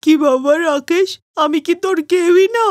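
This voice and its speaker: A woman's voice: extremely high pitch, unhurried, no echo, studio quality. A woman voicing a wronged, humble elderly mother in a plaintive manner for a cartoon moral story. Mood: hurt, resigned.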